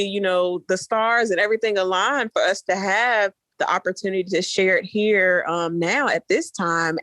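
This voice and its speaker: A woman's voice: mid-range voice, a bit bright, no room sound. Chatty woman host, dry close sound. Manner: chatty, friendly recalling with fillers. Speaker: podcast host. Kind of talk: casual interview chat.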